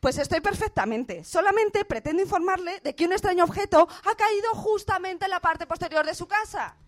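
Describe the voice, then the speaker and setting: Woman as female live reporter, extremely high voice, excitable, flustered, repetitive, radio play parody. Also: brisk. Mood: exasperated. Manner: breathless exclamations, stammering, exasperated repetition.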